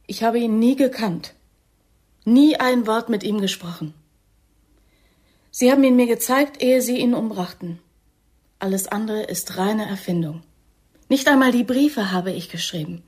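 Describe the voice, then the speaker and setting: A woman playing a subdued, frightened young undercover agent in a German radio play, with a breathy voice and short replies. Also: medium pitch, a little mellow.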